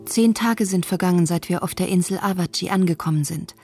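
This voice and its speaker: A woman playing a thoughtful, guilt-troubled mother in a measured first-person narration for a radio play. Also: lowish voice, mellow, faint music behind, close to an everyday voice. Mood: reflective, admiring. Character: thoughtful Tokyo mother who fled with her daughters, troubled by guilt.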